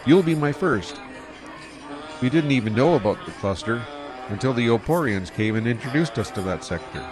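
An actor playing a practical, profit-minded spaceship captain, talking in a casual, matter-of-fact way. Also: male voice, mid-range voice, animated, moderate pace, lightly acted. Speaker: spaceship pilot and narrator of his own story. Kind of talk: radio drama acting. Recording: dialogue over music.

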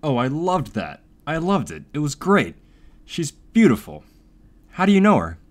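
A man voicing an eager, polite teenage newsboy in a fan audio drama, talking in a lively way. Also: fairly high pitch, a little slow.

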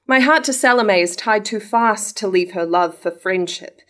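A woman's voice: mid-range voice, moderate pace, a bit bright, natural voice. A young woman playing a proud, honourable nobleman devoted to his love, in a formal verse drama reading. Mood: pained, grateful. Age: young adult. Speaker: actor in a staged reading. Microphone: stand mic at lectern.

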